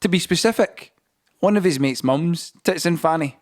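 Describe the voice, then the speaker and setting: A man narrating a scripted audio play as a storyteller, with deliberate phrasing and dramatic pauses, over a musical score. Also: fairly high pitch, close to an everyday voice.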